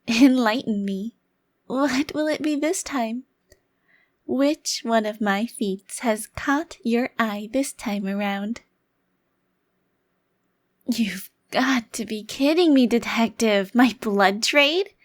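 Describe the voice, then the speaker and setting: A woman's voice: a little high, no echo, studio quality. A woman playing a smug, taunting, youthful-looking vampire crime lord in an ASMR roleplay, with a theatrical, condescending delivery. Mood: smug, mocking, then indignant, defiant. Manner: theatrical, condescending, formal wording with drawn-out emphasis. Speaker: audio roleplay performer.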